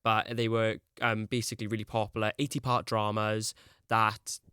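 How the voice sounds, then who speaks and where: Young man, low voice, dry sound, rambling casual chat. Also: level tone, normal speed, bright, forceful tone, clean tone, no room sound. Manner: rambling, peppered with "like". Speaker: podcast guest.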